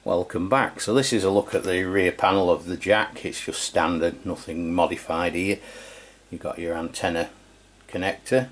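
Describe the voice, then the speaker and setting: A middle-aged Englishman with a low-pitched voice talks through a piece of equipment. He is informal and matter-of-fact, in the casual style of a hands-on video review. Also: fairly even intonation, normal speed, slight background noise. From England. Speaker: radio review channel host.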